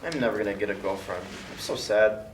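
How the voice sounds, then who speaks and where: A man's voice: on the low side, a little fast, far from the mic, lightly acted. A young man reading the part of a mopey, sarcastic, lovelorn college student at a script table read. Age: young adult. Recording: echoey room, off mic, faint noise.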